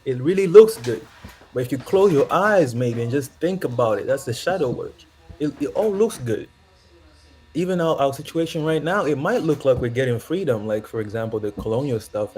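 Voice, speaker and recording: A man's voice: mid-range voice, a little mellow. A young man from Zambia, calling into a show and talking in a rambling, reflective way with frequent laughs. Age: young adult. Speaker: caller. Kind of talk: casual call-in chat.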